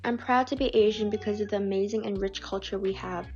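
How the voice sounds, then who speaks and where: Woman, measured scripted reading, mid pitch, dull lo-fi recording, faint music underneath. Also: steady tone, darkish tone. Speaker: narrator reading submitted stories.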